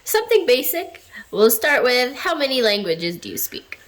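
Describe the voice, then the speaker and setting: A woman's voice: a little high, big pitch swings, normal speed. A woman interviewing a man in a casual, playful way, asking easy questions and teasing him a little.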